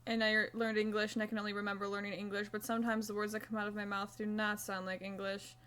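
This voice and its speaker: A woman's voice: mid-range voice, little pitch movement, fast talker, fairly bright tone, smooth. A young woman streaming live, chatting casually and self-mockingly while she crochets, swearing now and then.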